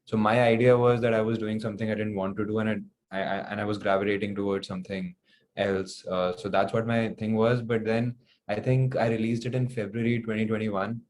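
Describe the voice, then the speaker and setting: A young man with an Indian accent answering interview questions casually and haltingly, circling back over his words. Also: fairly low pitch, little pitch movement, darkish tone, a little hiss or hum. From New Delhi, India. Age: young adult. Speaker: singer-songwriter guest.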